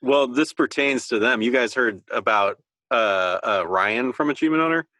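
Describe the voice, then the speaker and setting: A man's voice: mid-range voice, moderate pace, pushed and bright, no echo. A man chatting informally as a podcast co-host, swearing freely as he talks. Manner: informal, profane. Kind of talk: casual chat.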